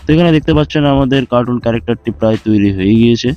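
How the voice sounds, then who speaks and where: A man narrating a step-by-step software tutorial in an instructional way, repeating steps as he goes. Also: mid-range voice, rapid-fire. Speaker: tutorial host. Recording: dull lo-fi voice track with music. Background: background music.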